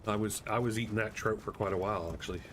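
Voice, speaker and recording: Male game streamer, lowish voice, easygoing rambling chat, noticeable background noise. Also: steady tone, racing, fairly bright tone. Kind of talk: casual chat while gaming.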